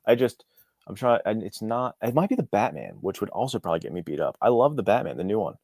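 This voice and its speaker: A man speaking as a guest author in a casual podcast conversation, with an informal, halting way of talking. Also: on the low side, big pitch swings, a little mellow, husky, no room sound, studio quality. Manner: informal, halting, peppered with "like" and "you know".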